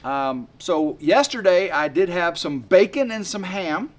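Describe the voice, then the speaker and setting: A man in his fifties rambling excitedly to camera outdoors by his work shed, full of fillers and restarts. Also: high-pitched, unhurried. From West Tennessee. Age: 50s. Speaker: homesteading vlogger. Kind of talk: rambling casual vlog to camera.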